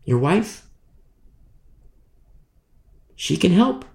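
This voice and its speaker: A male pastor preaches emphatically, repeating phrases and swinging from a hush to a loud push. His voice sits a little high, gravelly and full of lively rises and falls. A roomy echo follows him. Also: extremely slow. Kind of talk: sermon.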